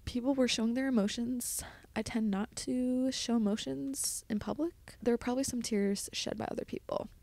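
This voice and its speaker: A woman's voice: fairly high pitch, fairly even intonation, moderate pace, gravelly, darkish tone, no echo. A young woman hosting a casual solo podcast, telling stories in a chatty, explanatory way with frequent asides. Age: young adult. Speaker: podcast host, college student studying at sea.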